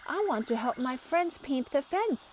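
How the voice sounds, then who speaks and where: A woman's voice: high-pitched, lively intonation, moderate pace, a little mellow, slight background noise, pitched above the performer's own voice. A woman voicing a friendly, playful young male cat in a children's storybook, earnest in manner. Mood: earnest, excited. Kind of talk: children's storybook read-aloud.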